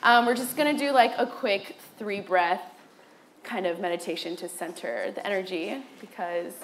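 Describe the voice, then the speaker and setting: A woman's voice: mid-range voice, moderate pace. A woman speaking casually to a group as a panelist, chatty, playful and encouraging as she guides an exercise. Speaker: panelist leading a breathing exercise. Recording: echoey room with faint noise.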